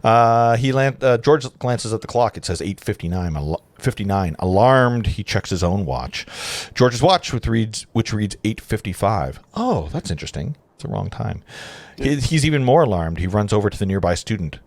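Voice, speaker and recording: A man narrates a script at an informal table read. His voice is on the low side and recorded dry. He reads casually, trips over phrases and adds offhand asides. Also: expressive, moderate pace, no echo. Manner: casual, stumbling over the text. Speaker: narrator reading stage directions.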